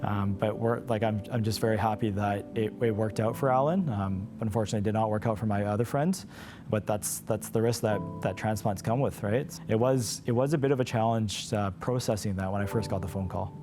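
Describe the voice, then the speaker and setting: A man's voice: on the low side, steady tone, brisk, over music. A man speaking candidly as an interview guest, in an earnest, conversational way. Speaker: interview guest, patient's brother. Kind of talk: candid personal storytelling in an interview.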